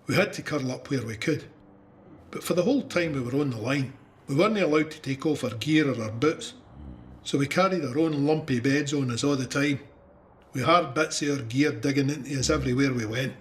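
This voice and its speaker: A man's voice: medium pitch, fairly quick, lightly acted. A man playing a young Scottish soldier who recounts trench warfare in a candid, wry, conversational way for an audio drama. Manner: conversational storytelling. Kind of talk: first-person audio drama narration.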